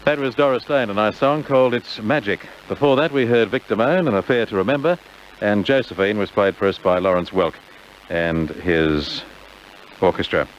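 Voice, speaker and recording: A man's voice: lowish voice, normal speed. A man presenting an easygoing music programme on the radio, with greetings to listeners. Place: radio studio. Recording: dull old radio tape, faint noise.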